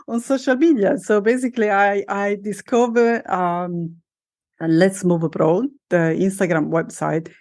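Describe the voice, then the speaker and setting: A woman hosting a podcast interview as a life coach, speaking in a measured way with some fillers and self-corrections. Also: mid-range voice, darkish tone.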